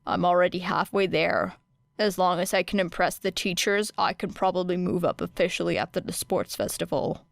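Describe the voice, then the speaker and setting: A woman's voice: on the low side, normal speed, vocal fry, no echo. A woman doing a dry, apathetic teen boy. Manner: flat, dry, curt. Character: apathetic, sardonic teenage boy.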